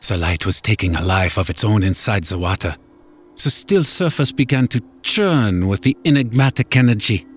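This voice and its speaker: A man narrating a first-person horror story in a dramatic, scripted storytelling style. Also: lowish voice. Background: music.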